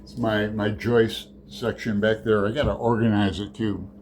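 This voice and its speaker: A man's voice: lowish voice, little pitch movement, moderate pace, a little mellow, a little hiss or hum. A man hosting his book channel, chatting through a tag in a rambling, hesitant way with lots of fillers. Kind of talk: casual book tag chat.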